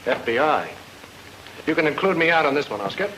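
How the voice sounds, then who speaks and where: An actor playing a reluctant newlywed private detective in an old-time radio drama, with dry, sarcastic wisecracks. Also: male voice, on the high side, expressive, a little fast, bright, forceful tone. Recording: noisy old radio recording.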